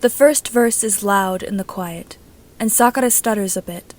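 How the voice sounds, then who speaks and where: Female amateur narrator for audio fiction, mid-pitched, reading scripted prose. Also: normal speed, a little mellow. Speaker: amateur podfic narrator reading own story.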